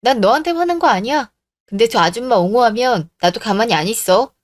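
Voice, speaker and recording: A woman acts out an indignant young fiancée in a radio drama. She is blunt and scolding, with a fairly high voice in a dry recording. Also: animated, a little fast, no room sound, lightly acted. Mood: angry, firm. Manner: blunt, scolding, rhetorical questions. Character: indignant, firm young woman breaking off her engagement.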